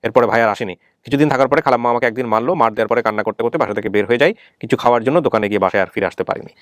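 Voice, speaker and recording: A man works as an interview host at a very rapid clip, in a medium-pitched voice recorded close and dry. He is methodical, checking each point with a question or a repeat. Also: no echo. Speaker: host of a family reunion show. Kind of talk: probing interview, reading out and summarizing testimony.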